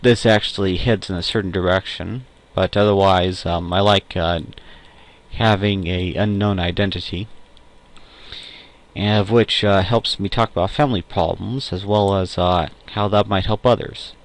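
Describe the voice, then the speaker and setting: A young man with a fairly low voice records a casual solo audio log. He speaks off the cuff in a halting, hesitant way, often pausing to find a word or rephrase what he has just said. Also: fairly even intonation. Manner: hesitant, halting, self-correcting, with frequent pauses. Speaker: amateur audio log host. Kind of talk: casual unscripted tech and personal monologue.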